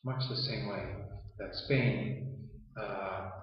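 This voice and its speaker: A man whose first language is Yiddish, giving a measured interview answer with frequent hesitant pauses. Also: on the low side, fairly even intonation. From Canada. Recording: roomy, distant, dull lo-fi sound.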